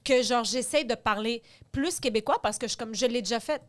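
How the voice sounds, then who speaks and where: Jeune femme enjouée, voix assez aiguë. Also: female voice, on the high side, fairly quick. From Longueuil, Quebec. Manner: enthusiastic, chatty, reactive. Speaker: podcast co-host. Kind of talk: casual podcast chat.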